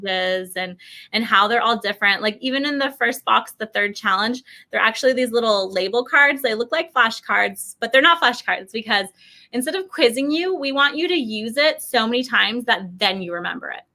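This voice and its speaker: An American woman in her thirties giving a casual, chatty product pitch in an interview, acting out little quotes as she goes. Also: normal speed. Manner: animated, uses mimicked quotes and frequent "like". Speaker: company representative presenting a product.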